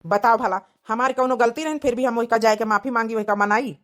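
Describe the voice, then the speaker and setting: A woman's voice: fairly high pitch, fast, a bit bright, close to an everyday voice. A woman voicing a hot-tempered young village husband in a comedy cartoon, scolding and grumbling, with an Awadhi accent. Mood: aggrieved.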